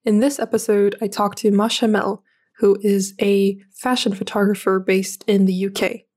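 Mellow-voiced woman hosting a podcast. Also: medium pitch. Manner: even and measured, short encouraging backchannels. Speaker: podcast host. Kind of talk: scripted intro, then conversational interviewing.

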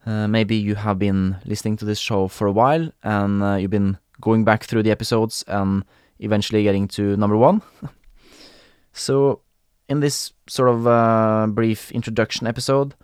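A young man from Norway hosting a podcast in English with a Norwegian accent, talking casually with frequent pauses and fillers. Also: on the low side, little pitch movement, clean tone, no echo, clean studio sound. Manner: hesitant, many fillers and restarts, laughs often. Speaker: podcast host. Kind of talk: casual solo podcast talk.

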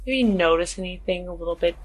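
A woman's voice: fairly low pitch, quick pace, a little hiss or hum. A woman chatting casually as a player in a tabletop roleplaying game.

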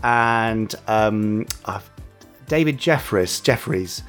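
A man's voice: fairly low pitch, animated, slow pace, smooth, soft background music. A British man co-hosting a podcast in casual chat, with a hesitant, jokey way of talking. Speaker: podcast co-host.

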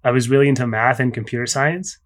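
Raised man, reflective founder. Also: medium pitch. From Spain. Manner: reflective, with many fillers and pauses. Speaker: startup founder guest. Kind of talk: casual interview talk.

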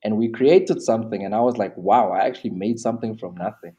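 A man's voice: lowish voice, quick pace, dark tone, slightly rough. A young South African man talking casually as an interview guest, rambling with many restarts and fillers.

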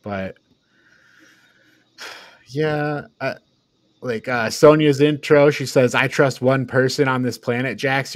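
A man chats on a review podcast in a mid-pitched voice, recorded very dry. He speaks informally and loosely, as one panelist among others. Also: fairly bright tone, no echo. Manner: informal, prone to chuckling and swearing. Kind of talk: casual discussion.

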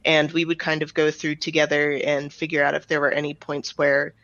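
Creaky-voiced woman in interview. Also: low voice, flat delivery, a little fast, a bit bright, no echo. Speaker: audio drama writer and director, guest. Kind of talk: conversational interview answers. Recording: slightly dull, band-limited close recording.

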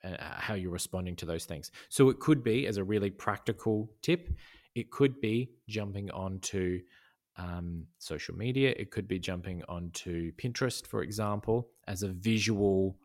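A man's voice: sits low, moderate pace, a little mellow. A man talking as a podcast guest in a casual conversation, thoughtful, with many fillers and restarts. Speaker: podcast guest, design educator.

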